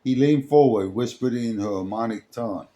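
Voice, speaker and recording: A man reading a dramatic scripted story as its narrator, in a halting way, sometimes stumbling over the words. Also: medium pitch, dark tone. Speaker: storyteller, host.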